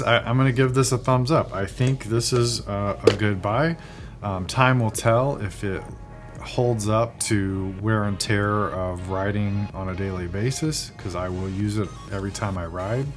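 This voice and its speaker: A man giving a casual, unscripted product review, speaking in a measured way with thoughtful, hesitant phrasing. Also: on the low side. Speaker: product reviewer, video host.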